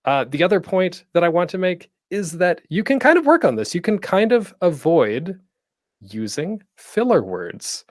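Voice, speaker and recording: Animated man presenting casually. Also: high voice, normal speed, no echo, clean studio sound. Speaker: panel host and podcasting instructor. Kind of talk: casual informal online tutorial talk.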